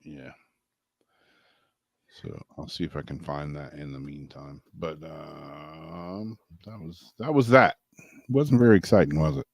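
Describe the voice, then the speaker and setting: A man's voice: a bass voice, a little slow, vocal fry, long pauses, slightly rough, no room sound. A man co-hosting a casual vlog, reading odd news stories aloud with wry asides and chuckles. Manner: chatty, wry, laughs between stories. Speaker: co-host.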